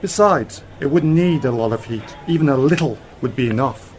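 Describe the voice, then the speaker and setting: A man's voice: mid-range voice, lively intonation, normal speed, darkish tone, lightly acted. A man acting as a brilliant, confident space-travelling scientist uncle in a radio drama, explaining things in an assured, theatrical way. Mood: lecturing. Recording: noticeable background noise.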